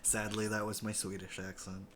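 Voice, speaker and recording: A man's voice: on the low side, level tone, normal speed, a bit bright, faint music behind. A man playing a tabletop game, chatting in a joking, easygoing way. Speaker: player. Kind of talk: casual game chat.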